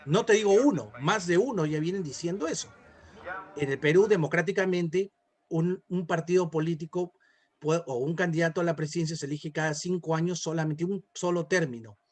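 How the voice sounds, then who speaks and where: A man with a Peruvian Spanish accent and a notably high voice gives political analysis as an interview guest. He explains in a conversational way, often checking in with a short tag question at the end of a thought. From Peru.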